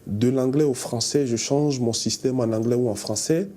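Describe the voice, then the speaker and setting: A man from Benin who works as a bank account manager, speaking in a steady, earnest tone in a testimonial interview. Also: mid-range voice, moderate pace.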